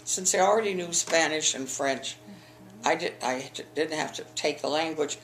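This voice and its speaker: A woman's voice: low voice, normal speed, slight background noise, rough recording. Older woman telling memories, rambling. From eastern United States. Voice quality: rambling storyteller with restarts. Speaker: interviewee, alumna. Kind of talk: oral history interview.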